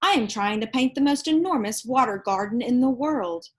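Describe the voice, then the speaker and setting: A kindly old man who paints and gardens, straight out of a picture book, voiced by a woman. The voice is light and rather high, gentle and welcoming in its telling. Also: expressive, moderate pace, lightly acted. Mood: proud.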